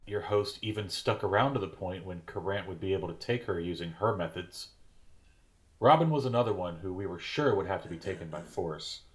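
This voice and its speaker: Audio drama: actor as demanding, doubtful alien official, formal, lower male register. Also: animated, a little fast. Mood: stern, doubting. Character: stern, skeptical male alien interrogator in a human host.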